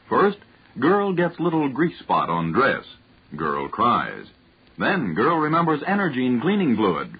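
Male pitchman, animated and clipped, 1940s radio commercial, dull vintage sound. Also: slightly rough. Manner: clipped, storytelling salesman. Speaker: radio advertisement announcer. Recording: muffled 1940s radio recording.

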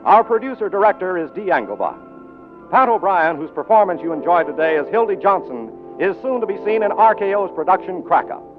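A man's voice: high-pitched, big pitch swings, a little fast. A man reading scripted copy as a formal, polished 1940s radio announcer. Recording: tinny 1940s radio broadcast, faint music.

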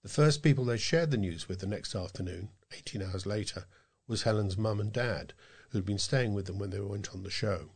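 Man with lowish voice reading news. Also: fairly quick, slightly rough. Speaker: volunteer newsreader. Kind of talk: scripted local news reading for a talking newspaper.

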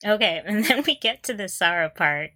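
A woman's voice: mid-range voice, expressive, moderate pace, sharp tone, no echo, clean studio sound. A young woman co-hosting a podcast in casual fan chat, playful and gushing, often giggling through her words. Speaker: podcast co-host. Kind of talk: casual fan discussion of audio dramas.

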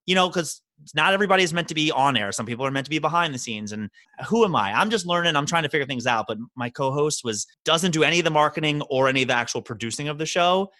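A man guesting on a podcast, chatting casually and telling animated stories. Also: on the high side, no room sound. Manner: animated, quick storytelling. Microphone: podcast microphone.

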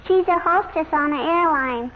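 A woman's voice: sits high, moderate pace, close to an everyday voice. A woman playing a naive, eager little girl in an old-time radio comedy, talking in a childlike way and repeating herself. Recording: tinny old radio broadcast, faint hiss.

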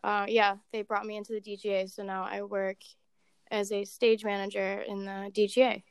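A woman in her twenties, a podcast guest, chatting casually about her work in a level, monotone way. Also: mid-range voice, moderate pace, clean tone, no echo. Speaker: podcast guest, assistant director. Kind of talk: casual chat.

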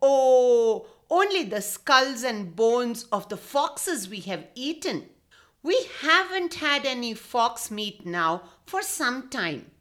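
A woman's voice: a little high, very animated, takes it slowly, fairly bright tone, exaggerated voice. A woman voicing a clever, bold male goat in a children's story, boasting and giving orders. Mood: boastful.

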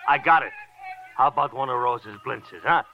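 An actor playing a chatty, hospitable New York cab driver in a 1950s radio drama, with a folksy, eager, confiding manner and a New York accent. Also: male voice, mid-range voice, normal speed, creaky, lightly acted. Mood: hospitable, excitable. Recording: noisy, dull vintage radio recording.

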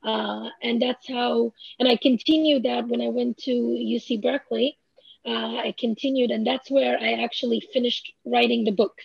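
High, gravelly-voiced woman. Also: steady tone, moderate pace, no echo. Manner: thoughtful, many fillers and restarts. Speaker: author and language researcher. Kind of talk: interview answers. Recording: slightly dull lo-fi sound.

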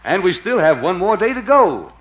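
On a muffled vintage radio recording, a smooth-talking male con artist. His animated pitch and earnest, ingratiating patter give him a falsely sincere air, in 1950s comedy style. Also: normal speed.

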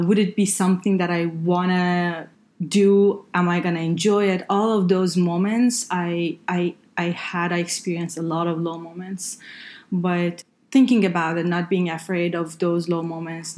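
A woman from Iran, Farsi her first language, a guest in a casual podcast interview, speaking reflectively with hesitations and soft laughs. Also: fairly low pitch. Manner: reflective, hesitant with many fillers, laughs softly. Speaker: guest engineer.

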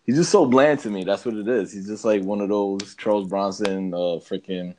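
A man's voice: on the low side, moderate pace, a little mellow. A man co-hosting a podcast in casual, slangy chat, feeling his way through sentences, with background noise. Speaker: podcast co-host.

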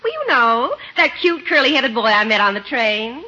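A woman's voice: on the high side, very animated, normal speed, pushed and bright, exaggerated voice. A woman playing a gushing, vain, flirtatious lady cousin in a breezy, theatrical 1950s radio comedy style. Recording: muffled 1950s radio recording.

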